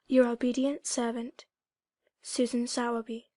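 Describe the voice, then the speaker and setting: A woman's voice: on the high side, little pitch movement, unhurried, dark tone, no room sound, studio quality, voice pushed up, natural voice. A woman voicing a humble, motherly countrywoman reading out her letter in an earnest, plain and respectful way. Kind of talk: audiobook dramatic reading.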